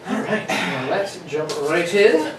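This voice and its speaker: In a roomy, noisy recording, a man hosts a casual chat. His voice sits a bit high for a man and is picked up at a slight distance. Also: sing-song, takes it slowly. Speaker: host.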